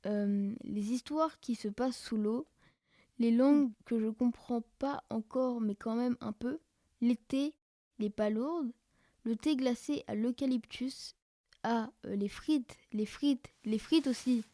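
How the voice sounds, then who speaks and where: A woman's voice: on the high side, takes it slowly, mellow, dry recording, clean studio sound. A woman playing a playful, chatty eleven-year-old child in a podcast drama, hesitating and thinking aloud. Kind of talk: podcast fiction monologue.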